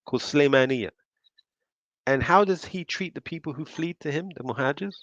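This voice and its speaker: A man's voice: on the high side, fairly quick, a bit bright, no room sound. A man hosting a reaction show, commenting on a call with mocking, sarcastic asides. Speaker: host reacting to a recorded call. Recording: slightly dull lo-fi sound.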